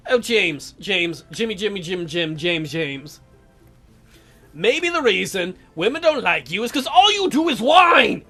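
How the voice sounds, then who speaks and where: Female let's play host, high bright voice, sarcastic and teasing, casual joking delivery. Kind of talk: casual joking game commentary, reading dialogue aloud.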